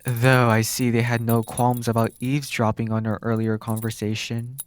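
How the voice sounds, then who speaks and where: A man playing a flirty, teasing demon captor in an ASMR roleplay, musing in drawn-out phrases. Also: mid-range voice, little pitch movement, lightly acted. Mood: musing, probing. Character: flirty, teasing male demon captor, smug then exasperated.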